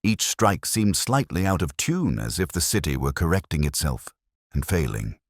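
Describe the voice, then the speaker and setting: A man narrating a first-person detective story in a measured, melancholy, literary manner. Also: rumbling low, very animated, no echo, studio quality. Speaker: audiobook narrator.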